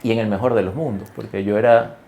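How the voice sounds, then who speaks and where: Gravelly low-voiced man, chatty executive. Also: fairly even intonation, fast talker, mellow. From Ecuador. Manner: conversational, many fillers and self-corrections, tag questions. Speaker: guest executive. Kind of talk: interview conversation.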